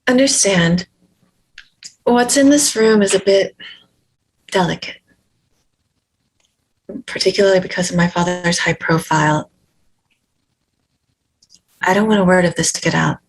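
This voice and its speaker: A woman's voice: on the low side, unhurried, long pauses, breathy edge, low-quality recording. A woman playing a guarded, uneasy heiress in a read-through of a horror film script. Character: guarded, uneasy woman heir showing off a family secret.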